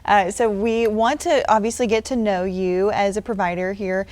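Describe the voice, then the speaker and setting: A woman's voice: mid-range voice, steady tone, moderate pace, darkish tone. A woman hosting a local TV health interview segment, chatty, with fillers and restarts.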